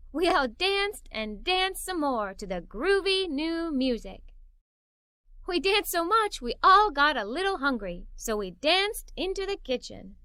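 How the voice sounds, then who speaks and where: A woman reading a children's story aloud in an animated, playful, emphatic way, as a young girl telling it. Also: high voice, no echo, clean studio sound. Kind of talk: expressive read-aloud storytelling in a young girl's first person.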